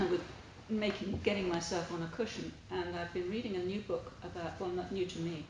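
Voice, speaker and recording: A woman's voice: fairly low pitch, steady tone, normal speed. A woman sharing personal experience as a participant in a group discussion. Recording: very echoey room, noisy, distant pickup.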